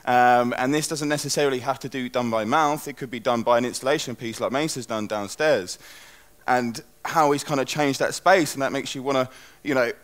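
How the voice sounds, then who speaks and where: A man's voice: mid-range voice, fairly quick, fairly bright tone. Rambling young man talking on stage. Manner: chatty, loose, rambling with restarts. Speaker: conference speaker. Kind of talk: live stage talk. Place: stage in a conference hall.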